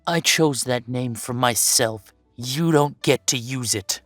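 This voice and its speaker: A woman's voice: very deep voice, slow, dry recording, soft background music, full character voice. A woman playing a bitter, defiant werewolf big brother estranged from the family, curt and confrontational, in an audio drama. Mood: indignant. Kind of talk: scripted audio roleplay drama.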